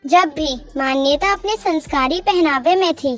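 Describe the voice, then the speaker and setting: A woman's voice: sits high, normal speed, faint music behind. A woman narrating a scripted cartoon family story.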